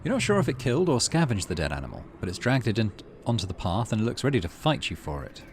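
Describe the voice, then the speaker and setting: A man doing a casual video game playthrough, reading story text aloud in measured narration with muttered asides. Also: medium pitch, big pitch swings. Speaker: gaming channel host. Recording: noticeable background noise.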